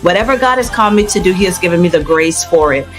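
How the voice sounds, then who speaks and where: A woman's voice: on the low side, animated, quick pace. Gospel singer lady being interviewed, earnest testifying talk, laughs easily, faint music under it. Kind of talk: conversational interview.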